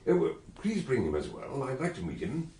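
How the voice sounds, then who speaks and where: An actor playing an eccentric, jovial British detective doctor in a radio drama, with a theatrical, blustering, wry manner. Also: male voice, mid-range voice, wildly swooping pitch, fairly quick, dark tone, slight background noise. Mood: bumbling, courteous. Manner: theatrical, hesitant bumbling mixed with wry pronouncements. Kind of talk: BBC radio drama acting, dialogue and narration.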